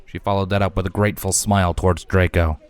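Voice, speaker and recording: A man's voice: sits low, little pitch movement, fast, clear, resonant tone, no echo. A man reading scripted fantasy fiction as a narrator.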